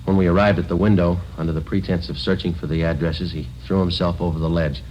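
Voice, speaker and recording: An actor playing a stoic, no-nonsense police sergeant in a deadpan, formal manner in a 1950s radio drama. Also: male voice, low voice, fast talker, natural voice. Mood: flat, formal. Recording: muffled, noisy old radio recording.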